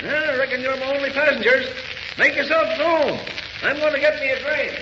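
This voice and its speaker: A folksy, friendly trolley driver in a 1940s radio serial. The pitch is extremely high, and the old muffled recording rings with echo. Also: male voice, brisk, background noise. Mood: cheery. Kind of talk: 1940s radio drama acting.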